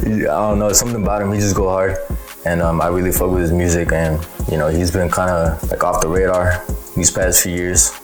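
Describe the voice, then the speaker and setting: A man's voice: deep voice, level tone, normal speed, music in the background. A twenty-year-old man from Dayton, a rapper talking in a hesitant, loose and slangy way. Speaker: rapper in a music group. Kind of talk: casual self-introduction interview.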